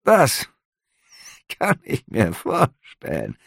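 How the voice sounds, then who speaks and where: A man's voice: fairly high pitch, lively intonation, a little slow, gravelly, no echo, studio quality, pitched above the performer's own voice, exaggerated voice. A man voicing a nervous, prying middle-aged innkeeper who talks in a hasty, giggly, placating way.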